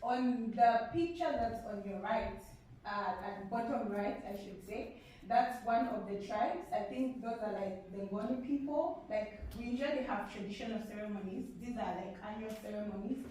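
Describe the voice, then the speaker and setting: A woman's voice: on the high side, normal speed, warm tone. A woman of twenty-four with a Zambian accent, a native speaker of a Zambian language, giving an informal student presentation in a conversational manner. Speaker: student panelist. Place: large echoey room at a public event. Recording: very echoey room, distant mic, faint noise.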